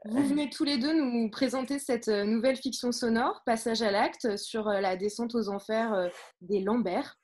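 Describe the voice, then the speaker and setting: A woman moderating and presenting at a live event, speaking a little haltingly. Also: fairly high pitch, steady tone, a little mellow.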